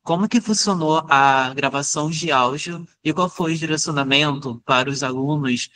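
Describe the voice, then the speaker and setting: A man's voice: on the high side, normal speed, bright, forceful tone. A man in the audience politely asking a two-part question, a little hesitant.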